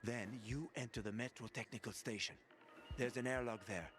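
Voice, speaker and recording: A man's voice: mid-range voice, fairly even intonation, moderate pace, lightly acted. An actor voicing a roguish, boastful man with a wry, casual manner that includes swearing, in video game dialogue. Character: roguish older male schemer, boastful. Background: music.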